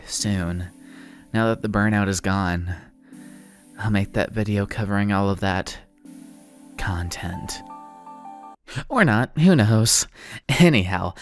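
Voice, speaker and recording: A man giving a casual video essay commentary, sardonic and self-deprecating, with informal wording. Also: fairly low pitch. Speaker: online video essayist, host of the channel. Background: background music.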